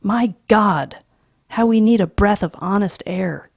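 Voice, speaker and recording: A firm middle-aged father speaks in blunt, forceful bursts, acted by a woman reading a storybook. The voice is husky and gravelly, slow and close-miked, with a dry sound. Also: on the low side, no echo, heavily acted. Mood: angry.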